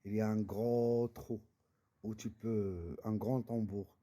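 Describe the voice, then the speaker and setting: A man chatting casually in a non-native language, halting and searching for words. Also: lowish voice, fairly even intonation, dark tone. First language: not French. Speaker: vlogger. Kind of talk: casual chat.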